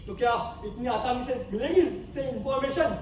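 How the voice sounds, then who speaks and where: A man's voice: very high-pitched, a little fast, darkish tone. A man playing a bitter, defiant army captain in a theatrical stage play, with an impassioned, sarcastic, rhetorical delivery. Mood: heated, accusing. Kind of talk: theatrical Hindi stage acting. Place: stage of a hall at a student festival. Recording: echoey hall, far mic, noisy.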